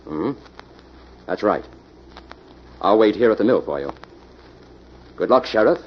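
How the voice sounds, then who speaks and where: A confident detective hero gives clipped, authoritative instructions in a low, highly animated voice. It is classic radio drama on a muffled recording with faint noise. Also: male voice, unhurried, breathy edge. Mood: measured. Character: confident, commanding male city detective hero. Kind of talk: old-time radio drama acting.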